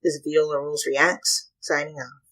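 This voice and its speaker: A reaction host with a husky voice, commenting casually and thinking aloud in short, halting pieces. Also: mid-range voice, flat delivery, very noisy.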